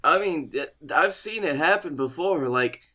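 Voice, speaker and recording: A man's voice: on the high side, animated, normal speed, pushed and bright. A man co-hosting a comedy podcast, bantering casually.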